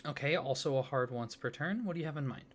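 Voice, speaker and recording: A man speaking softly and warmly in an ASMR roleplay as a friendly card designer, gently enthusiastic. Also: mid-range voice, fast talker. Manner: soft-spoken, gently enthusiastic. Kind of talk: soft-spoken ASMR roleplay.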